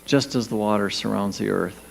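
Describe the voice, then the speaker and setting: A man leading a guided meditation, speaking gently and soothingly in short, spaced phrases with pauses. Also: lowish voice, steady tone, vocal fry. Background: background music.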